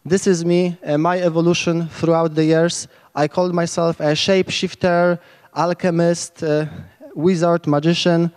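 A man's voice: fairly high pitch, level tone, moderate pace, darkish tone, clear, resonant tone, in a large hall. A man in his thirties with a Polish accent, giving a rambling, enthusiastic lightning talk into a handheld mic at a camp stage. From Poland. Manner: rambling, enthusiastic, many restarts. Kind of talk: informal conference talk. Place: large tent stage at hacker camp.

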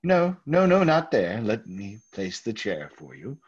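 A man playing a nervous, overpolite murderer hiding his guilt, halting and husky, in old-time radio theater style. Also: on the low side, wildly swooping pitch, heavily acted. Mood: ingratiating. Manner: halting, over-courteous, stammering. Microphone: video call. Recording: dull, lo-fi video call audio.

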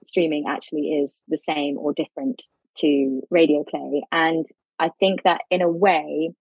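Husky woman explaining law. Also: low voice, fairly even intonation, unhurried, dry recording, dull audio. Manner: articulate, explains carefully with fillers. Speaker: lawyer guest. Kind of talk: podcast interview.

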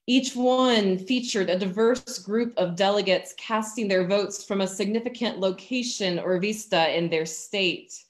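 A woman in her thirties with an American accent gives a sermon in measured, deliberate phrases with emphatic pauses, over a video call from a room at home. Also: mid-range voice. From Virginia, United States. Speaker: pastor. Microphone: computer mic on a video call.

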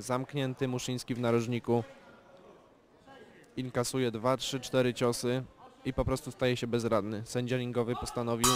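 A man giving calm, measured live play-by-play commentary on an amateur boxing bout, with technical analysis of the punches. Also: medium pitch. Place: boxing event venue. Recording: loud crowd in a venue.

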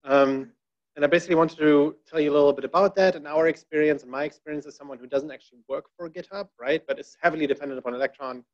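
A man's voice: on the high side, steady tone, quick pace. A man giving a conversational talk at a tech meetup from a podium, with many fillers and wry asides. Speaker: conference speaker. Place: dark presentation room. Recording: dull lo-fi room recording.

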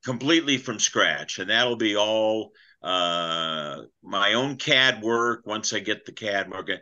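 An older man talks over a webcam call in a low yet bright, forceful voice. He rambles through his plans, trailing off and poking fun at himself. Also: lively intonation, takes it slowly, dull audio. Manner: rambling, self-deprecating, trailing off. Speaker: clinic presenter. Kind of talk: casual video call chat. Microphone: video call webcam.

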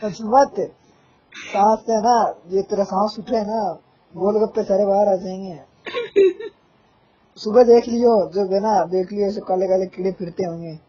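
A man's voice: high voice, steady tone, measured pace, mellow, slightly rough. A young man on a casual phone call, ranting insistently with playful threats in a nagging manner. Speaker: caller, gaming fan. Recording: muffled lo-fi phone call recording.

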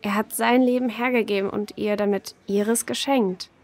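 A woman playing a gentle, patient grown-up who comforts and explains carefully to children in an audio drama. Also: mid-range voice, steady tone, moderate pace, natural voice. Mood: gentle, serious.

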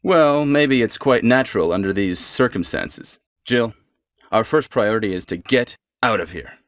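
A man's voice: lowish voice, lively intonation, normal speed, fairly bright tone, dry recording, studio quality. An actor playing a composed, secretive male squad leader in a stilted nineties video game. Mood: calm, guarded.

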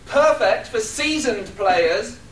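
A man's voice: very high-pitched, lively intonation, slight background noise. A man auctioning lots for charity, reading descriptions with wry asides. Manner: wry, drawn-out delivery. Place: hotel function room at a convention. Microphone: far room camera. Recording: echoey room, distant camera.

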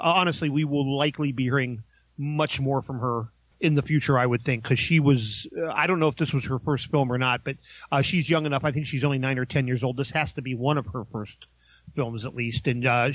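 A man's voice: medium pitch, a bit bright, dry recording, muffled recording. A man giving a casual, informal film review, talking in a hesitant, rambling way.

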